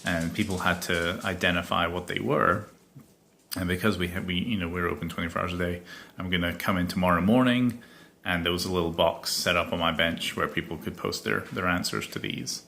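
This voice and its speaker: Gravelly low man with faded Scottish accent. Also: steady tone, fairly quick, pushed and bright. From Scotland. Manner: rambling, chatty, many ums and self-corrections. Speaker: podcast host. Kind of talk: casual solo podcast chat.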